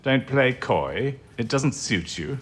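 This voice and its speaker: An actor playing a firm male wartime intelligence administrator, formal and measured, in a period radio drama. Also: medium pitch, very animated, breathy edge, heavily acted. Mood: measured, pointed.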